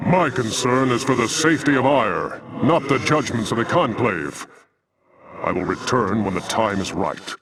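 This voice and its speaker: An actor playing a defiant, authoritative alien templar commander in a video game, speaking gravely and formally. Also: male voice, mid-range voice, animated, moderate pace, background noise, natural voice. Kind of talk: theatrical video game dialogue.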